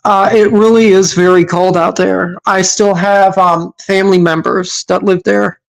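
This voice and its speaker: A woman's voice: medium pitch, steady tone, a little slow, creaky, rough recording. A woman from Massachusetts hosting a livestream, reacting to clips with sarcastic, scolding commentary and frequent hesitations.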